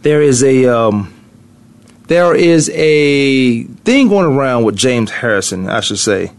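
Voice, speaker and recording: A man's voice: mid-range voice, lively intonation, slow pace. A middle-aged man hosting a morning sports talk radio show from a studio, rambling and thinking aloud as he goes. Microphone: studio mic.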